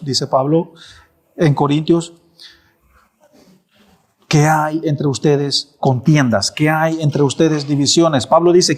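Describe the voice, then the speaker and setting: Man, thirties, pastor preaching in a church, fairly high voice, rhetorical questions with firm answers. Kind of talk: sermon.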